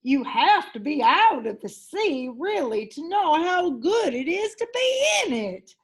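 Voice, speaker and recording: A woman voicing a huge, big-hearted male whale in a storybook, tender and helpless, with halting, heartfelt delivery. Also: high voice, sing-song, pitched above the performer's own voice. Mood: wistful relief.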